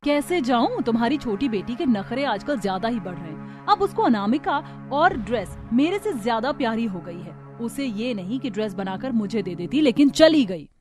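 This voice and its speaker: A woman's voice: fairly high pitch, big pitch swings, normal speed, music in the background. A woman voicing a jealous, sulky young elder sister in a Hindi cartoon story, petulant, demanding and bitter. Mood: resentful.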